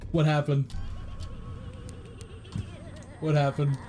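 A young man hosting a casual horror game session with friends, excitable and laughing at scares. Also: fairly high pitch, extremely slow, faint music behind. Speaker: gaming channel host. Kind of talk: casual co-op horror gameplay chat. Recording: noisy game audio behind voice.

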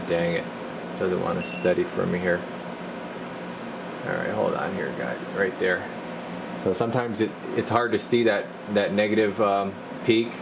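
Deep creaky-voiced guy doing a casual radio bench demo, kinda talking to himself, loud hum behind him. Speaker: radio technician demonstrating a CB radio. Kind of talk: casual hands-on demonstration narration. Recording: steady loud equipment and room hum.

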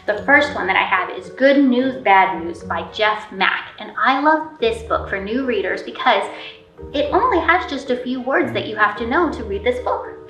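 A woman's voice: fairly high pitch, a bit bright. A woman in her thirties hosting a library storytime, talking to small children in simple, encouraging language with questions and praise. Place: indoor library room with painted mural. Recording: roomy, distant camera pickup.

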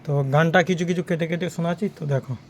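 A man's voice: on the high side, steady tone, very fast, very noisy. A man with a West Bengal Bengali accent giving casual, repetitive sales patter to viewers in an unboxing vlog. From West Bengal, India. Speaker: audio equipment seller and channel host.

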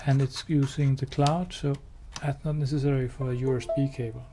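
A man giving a hands-on product demo indoors, explaining step by step in a halting, matter-of-fact way. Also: mid-range voice, level tone. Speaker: product demonstrator. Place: indoors, showroom with wall-mounted devices. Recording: clear background noise.

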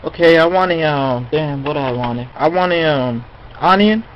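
A man's voice: fairly high pitch, moderate pace. A man making a rambling prank phone call in a hesitant, repetitive, slangy way. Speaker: prank caller. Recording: muffled, noisy phone call recording.

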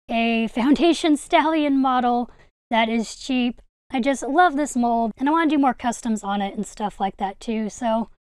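High, clear-voiced enthusiastic young woman. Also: dry recording. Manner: enthusiastic, gushing. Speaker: video host, hobby collector. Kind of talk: casual haul vlog narration.

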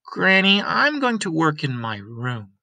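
A man's voice: a little high, very animated, a little slow, creaky, bright, forceful tone, no echo, voice pushed up, heavily acted. A man voicing a concerned young girl with urgent questions in a graphic novel read-aloud.

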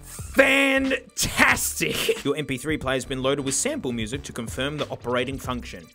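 Excitable Aussie man with a lively voice. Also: mid-range voice, moderate pace, faint music behind. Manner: excitable, exclamatory, self-mocking. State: hoarse. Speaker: gadget reviewer host. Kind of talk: casual unboxing commentary.

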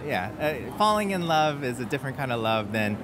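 A man's voice: medium pitch, sing-song, brisk, noisy background. A man in his thirties from the eastern US chatting casually in an outdoor interview. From near Washington DC, US. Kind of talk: casual chat. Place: outdoors in a public spot. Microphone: handheld interview mic. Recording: music over outdoor sound.

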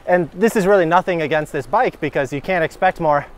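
A man's voice: a little high, brisk. An American man talking casually and enthusiastically in an easygoing, informal vlog style while riding a bike outdoors. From Michigan, United States. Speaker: cycling vlogger. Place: outdoors on a gravel country road, riding a bike.

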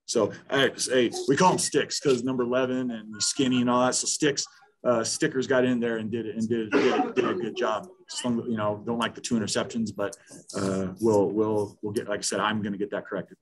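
Blunt, halting coach on a video call. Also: male voice, on the low side, level tone, low-quality recording. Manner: blunt, halting, with many restarts and fillers. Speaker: head football coach. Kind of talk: post-game press conference. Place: small room in front of a sponsor backdrop.

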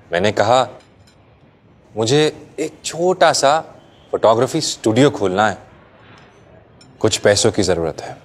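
An actor playing a young groom, speaking a slow, heartfelt and gently teasing wedding tribute in a scripted ad monologue. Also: male voice, on the low side. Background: faint background music.

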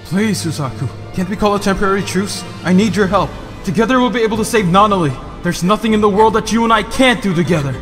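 A man's voice: sits high, fast talker, few pauses, natural voice. A man playing an arrogant, cunning young rebel leader in a theatrical anime dub. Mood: pleading. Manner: grandiose, theatrical. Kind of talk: anime fan dub acting. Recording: long reverb over loud music.

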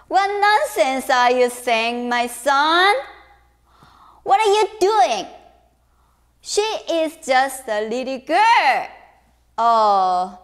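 A woman voicing an angry old father in a folktale, loud and scolding. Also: on the high side. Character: stern angry old father, hot-tempered.

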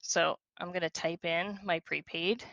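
Bright, slightly creaky woman giving software tutorial. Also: fairly low pitch, fairly even intonation, unhurried, dry recording, lo-fi sound. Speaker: software demonstrator. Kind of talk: screen-share software tutorial, walking through steps conversationally.

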